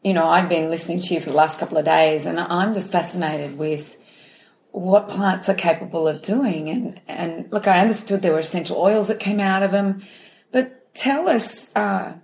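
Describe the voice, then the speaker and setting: A woman's voice: fairly low pitch, normal speed, creaky, darkish tone, slight background noise. A woman co-hosting a podcast, cheerful and enthusiastic as she chats and asks questions. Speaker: podcast co-host. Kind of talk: casual chat.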